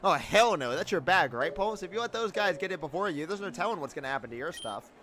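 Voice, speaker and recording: Brash loyal schoolmate voiced by a man, boisterous, slangy, very animated, forceful. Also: high-pitched, fast talker, a little hiss or hum. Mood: fired up.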